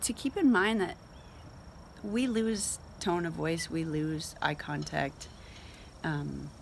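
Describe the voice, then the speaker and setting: Low-voiced woman talking casually, noisy background. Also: slow, vocal fry. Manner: informal, conversational, emphatic. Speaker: host of a Bible reading show. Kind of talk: casual devotional talk with scripture read aloud.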